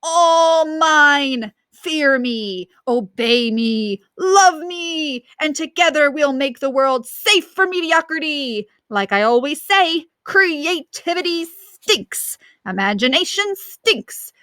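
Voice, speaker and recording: A woman's voice: high voice, expressive, slow, few pauses, sharp tone, no room sound, studio quality, voice pushed up, heavily acted. A woman voicing a cheeky schoolboy in a storybook who mockingly imitates a pompous dictator in a grandiose way.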